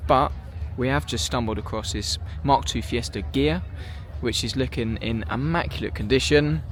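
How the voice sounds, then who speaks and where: A man hosting a vlog, a bit croaky, chatting upbeat and admiring as he walks around an outdoor car show. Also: medium pitch. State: slightly croaky voice. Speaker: vlog host. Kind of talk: casual walkaround commentary. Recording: music playing over the voice. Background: background music.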